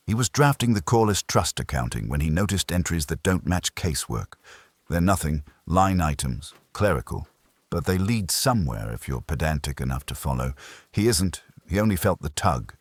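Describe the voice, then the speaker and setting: A man with a husky voice voicing a shrewd, unrepentant professional woman in an audiobook detective story, clipped and defiant. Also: rumbling low, very animated, normal speed, no echo, heavily acted. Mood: coolly confessing.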